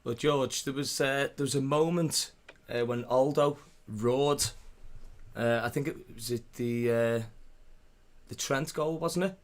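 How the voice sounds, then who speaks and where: A man's voice: medium pitch, a little slow. A man co-hosting an interview, putting his question hesitantly with many fillers. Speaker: podcast co-host.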